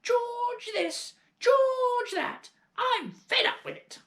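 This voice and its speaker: A man's voice: very high-pitched, expressive, drawn-out words, bright, forceful tone, voice pushed up, full character voice. A man voicing a huge, bad-tempered old grandmother in a children's story read aloud, screeching and bullying. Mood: screeching tantrum. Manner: screeching, bullying, self-pitying. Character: towering, furious, greedy old grandmother.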